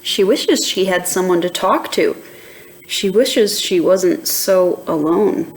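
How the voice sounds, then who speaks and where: A woman reading a children's picture book aloud in an expressive, deliberate teacher's manner, pausing to ask young listeners questions. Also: on the low side, slight background noise, low-quality recording.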